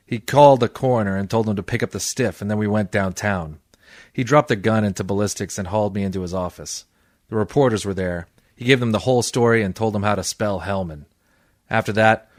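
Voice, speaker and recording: Male actor as hard-boiled private eye, low voice, dry close sound, deadpan sarcasm, old-time radio drama. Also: no echo, lightly acted. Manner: sardonic, deadpan. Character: wisecracking, cynical hard-boiled private detective. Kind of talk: old-time radio detective acting and narration.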